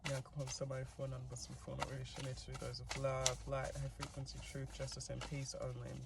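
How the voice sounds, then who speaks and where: Creaky-voiced New Zealand man reading tarot. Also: medium pitch, monotone, quick pace, very noisy. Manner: chatty and giggly, many fillers. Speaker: tarot reader. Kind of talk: casual tarot reading to camera.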